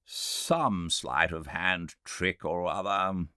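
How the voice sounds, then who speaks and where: A man voicing a wry, sceptical gentleman doctor in a storybook reading, with a dry, teasing manner. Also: low voice, steady tone, very slow, no echo, heavily acted. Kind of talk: audiobook character reading.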